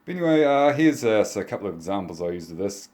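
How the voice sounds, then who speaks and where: A man's voice: lowish voice, very animated, fast, a little mellow. A man gives a casual, unscripted project demonstration in a hesitant, self-deprecating way. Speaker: hobbyist video maker. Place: indoors at a home workbench.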